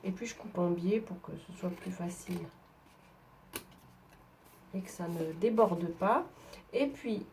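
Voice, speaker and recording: A woman's voice: lowish voice, unhurried, long pauses, a little mellow. A woman from northern France talks through a craft tutorial while she works at her table. She has a head cold, so her voice sounds stuffy, and her easygoing, chatty delivery is full of small hesitations. A faint background noise runs under the recording. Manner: chatty, explains while working, frequent fillers. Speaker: papercraft demonstrator.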